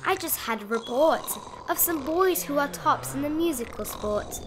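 Female storybook narrator, highish voice, sing-song rhymes for children, some background noise on the recording. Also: expressive, moderate pace. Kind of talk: expressive read-aloud of a rhyming children's bedtime picture book.

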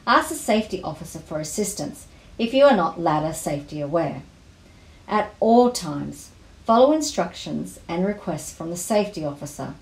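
A woman reading volunteer safety rules aloud, item by item, in a measured way. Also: on the low side, expressive, darkish tone. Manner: measured, list-by-list reading delivery. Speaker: volunteer safety induction presenter. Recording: roomy, echoey voice-over.

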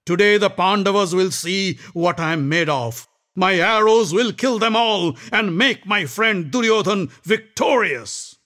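A man's voice: high voice, expressive, measured pace, few pauses, voice pushed up, exaggerated voice. Man voicing a proud, boastful warrior. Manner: loud raised boasting lines. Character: proud boastful male warrior, fiery and defiant.

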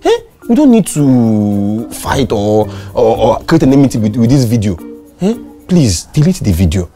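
An actress playing an anxious neighbor, pleading and begging. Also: female voice, very animated, moderate pace, dark tone, faint music behind. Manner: pleading, rising to shrill panic. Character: anxious female neighbor, pleading and easily alarmed.

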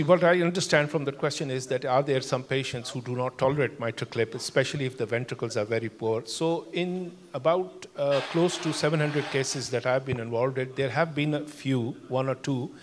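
An older man, a cardiologist on a conference panel, explaining cases in a measured way with many fillers. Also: medium pitch, steady tone. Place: large conference hall. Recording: long hall reverb.

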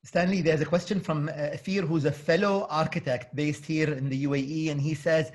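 Man, middle-aged, moderator, courteous, slightly high voice, video call. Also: fairly even intonation, brisk. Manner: polite, reads out audience questions. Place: home study with bookshelves.

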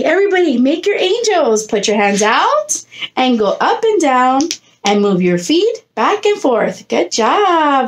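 Animated high-pitched woman reading to children. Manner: expressive, coaxing, puts questions and prompts to listeners. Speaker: preschool teacher reading to children. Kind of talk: animated picture-book read-aloud to young children. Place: indoor room against a plain textured wall.

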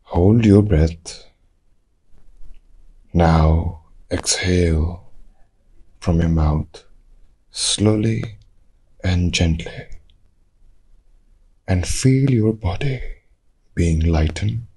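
A man leading a guided meditation, giving calm, measured instructions in short phrases with long pauses. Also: low voice, slightly rough, rough recording.

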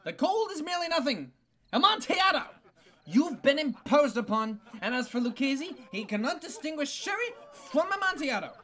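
An actor playing a drunken, excitable, boastful nobleman in a dramatic story reading, exclaiming in a tipsy, drawn-out way. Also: extremely high pitch, exaggerated voice. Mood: boastful, insistent.

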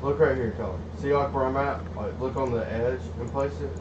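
A man's voice: mid-range voice, fairly even intonation, brisk. A man playing a video game with a friend at home, joking and teasing him. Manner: teasing, talks over friend. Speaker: gamer. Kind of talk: casual gaming chat. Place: room at home. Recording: roomy, distant, muffled with clear noise.

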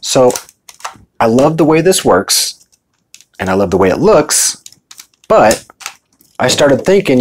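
A man's voice: mid-range voice, breathy edge. A middle-aged American man talking informally and conversationally in a casual show-and-tell vlog. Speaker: video host.

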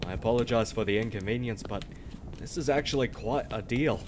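Male pirate crewman voiced by a man, soft-hearted and deferential, middle register, noisy rainy ship backdrop. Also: mid-range voice, brisk, natural voice. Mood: relieved, reassuring. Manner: stammering, pleading before his captain. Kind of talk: dramatic audio roleplay. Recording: loud rain and ship ambience.

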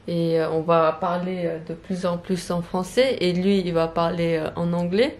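A woman's voice: on the low side, fairly even intonation, moderate pace, a little mellow. A woman in her thirties from Tibet, a native Tibetan speaker, chatting casually as a family vlogger in a room at home. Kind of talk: casual vlog chat. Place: small bright room at home. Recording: faint background noise.

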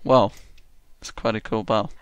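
Slow-talking British guy gaming. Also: fairly low pitch, clear, resonant tone, dull audio. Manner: chatty, excitable, self-mocking. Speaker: gaming channel host. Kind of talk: casual gameplay commentary.

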